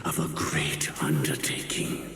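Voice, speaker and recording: A man's voice: measured pace, crowd noise, close to an everyday voice. A man playing a solemn, ominous figure intoning a grand design in a video game. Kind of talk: scripted game voice acting. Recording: echoing reverberant voice.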